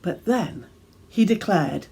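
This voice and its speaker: A woman reading a devotional reflection as gentle, measured storytelling with deliberate pauses. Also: on the low side, animated, vocal fry, husky. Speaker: reader of her own devotional reflection. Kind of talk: scripted devotional reflection, storytelling read aloud.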